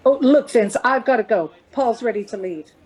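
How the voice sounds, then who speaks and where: An actress playing a lighthearted, playfully teasing middle-aged wife and mother in a naturalistic radio play, with a husky voice. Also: fairly high pitch, normal speed, darkish tone. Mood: affectionate, hurried.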